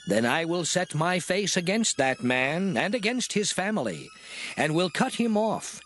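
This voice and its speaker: An actor playing God as a solemn lawgiver in a dramatized scripture reading, speaking in a measured, declamatory manner. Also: male voice, on the high side, slight background noise, lightly acted. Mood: stern, condemning.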